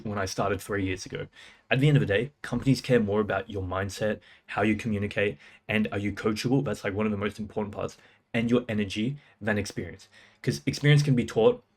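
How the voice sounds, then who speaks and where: A young man, his voice sitting a little low. He talks straight to camera in a casual, self-assured way, firing off short punchy phrases and slang. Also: breathy edge. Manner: fast, punchy, confident delivery with slang. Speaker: music producer and sales coach. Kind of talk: casual talking-head advice.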